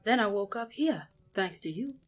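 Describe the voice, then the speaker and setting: A woman's voice: mid-range voice, animated, moderate pace, close to an everyday voice. A woman playing a folksy, playful and mysterious Cajun healer in an audio drama, drawling. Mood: grateful.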